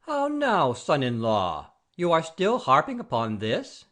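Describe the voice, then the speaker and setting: A man's voice: a little high, huge pitch swings, slow pace, fairly bright tone, full character voice. An actor playing a pompous, easily offended noble father-in-law in a classic comedy audio play, haughty and blustering. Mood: haughty, scolding.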